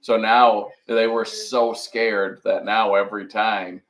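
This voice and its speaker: A man with a loud voice hosting a casual livestream chat, telling stories in a chatty, teasing way. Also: on the low side, flat delivery, takes it slowly. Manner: chatty, teasing, laughs often.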